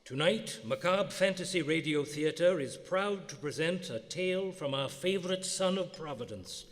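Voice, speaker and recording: A man's voice: on the high side, lively intonation, moderate pace, lightly acted. Macabre radio host, Death himself acted by a man: urbane, formal, dryly droll, reverberant theater sound. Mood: solemn. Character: Thanatos, urbane, macabre angel of death hosting stories. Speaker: host of horror show. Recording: live theater, long hall reverb.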